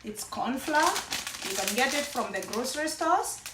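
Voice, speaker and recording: A Kenyan woman talks her way through a home-cooked meal, chatty and wandering, often starting a thought over. Her voice sits high. The kitchen rings around her and she sounds a little way off from the mic. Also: lively intonation, moderate pace, background noise. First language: Kenyan mother tongue. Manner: chatty, rambling, with frequent restarts. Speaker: cooking vlogger. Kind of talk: casual cooking demonstration. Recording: echoey room, mic at a distance.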